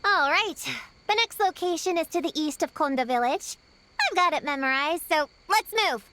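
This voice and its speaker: A woman voicing a small, bookish girl in a video game, who takes charge briskly yet gets flustered easily. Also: high-pitched, expressive, background noise. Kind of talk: video game character dialogue.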